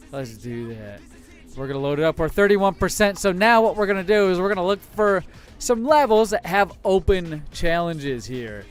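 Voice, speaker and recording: Male American streamer, higher-pitched, crisp voice, enthusiastic and rambling, casual host chat. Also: fairly bright tone. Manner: enthusiastic, rambling, mild swearing. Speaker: livestream host. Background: faint music.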